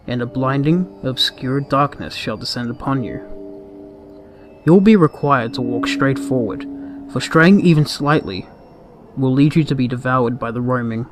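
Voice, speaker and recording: Man narrating horror over background music. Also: medium pitch. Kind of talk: scripted horror narration, dramatic reading.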